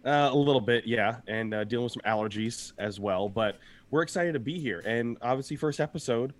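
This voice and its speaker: A young man with a slightly hoarse voice co-hosts a casual podcast. He rambles cheerfully, laughs easily and keeps the chat loose, sitting at an everyday middle pitch. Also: a little fast. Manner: upbeat, rambling, laughs often. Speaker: podcast co-host.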